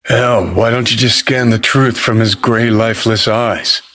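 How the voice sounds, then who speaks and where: An actor voicing a cynical, abrasive dead rock rebel in a video game, with an American accent and a sardonic, profane, contemptuous drawl. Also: male voice, medium pitch, steady tone, measured pace, bright, forceful tone, slight background noise. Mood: scornful, sarcastic.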